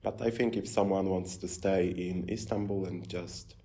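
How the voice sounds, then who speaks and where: Young male student from Poland, about twenty, deep mellow voice, relaxed chatty answers. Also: moderate pace. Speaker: exchange student. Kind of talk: casual interview answers.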